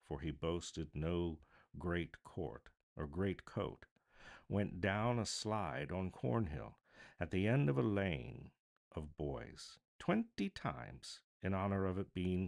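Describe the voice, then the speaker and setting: Low, creaky male storybook narrator. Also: a little mellow. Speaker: narrator, voice artist. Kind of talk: dramatic scripted storybook narration.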